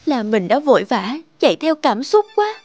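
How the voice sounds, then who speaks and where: A woman voicing a gentle, insecure young working-class girlfriend in a cartoon drama, earnest and hesitant. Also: sits high, big pitch swings, normal speed, no echo. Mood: wistful. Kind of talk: animated drama dubbing. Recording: music under the voice.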